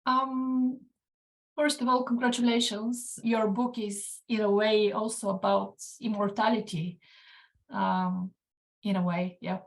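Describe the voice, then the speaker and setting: A woman's voice: medium pitch, steady tone, takes it slowly, creaky, low-quality recording. A woman in an audience, an editor, offering a tentative comment.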